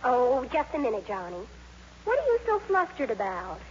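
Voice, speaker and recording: A high, excitable teenage schoolgirl in a vintage radio drama. She is bossy and slangy, with very lively pitch swings and exclamations. The sound is muffled and dated, like an old broadcast. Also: moderate pace, slight background noise. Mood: puzzled. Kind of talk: 1950s radio drama acting.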